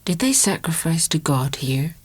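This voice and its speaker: A man voicing a tender, weary young country woman in a soft, breathy falsetto murmur, for a dramatic audiobook. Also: sing-song, moderate pace. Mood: anxious, sorrowful.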